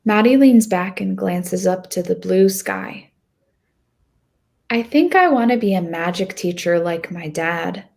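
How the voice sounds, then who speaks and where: A woman reads as a young girl narrating her own storybook adventure. She is hopeful and a little nervous. The voice sits fairly low and moves very slowly, with deliberate expression. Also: lively intonation, vocal fry, a little mellow. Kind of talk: first-person storybook narration.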